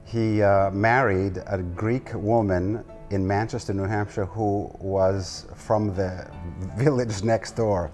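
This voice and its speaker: A man in his sixties from the United States, an artist telling his family story in an interview at his home, relaxed and wry. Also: fairly low pitch, takes it slowly, hardly any pauses, faint music behind. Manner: relaxed, wry, self-deprecating. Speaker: guest artist.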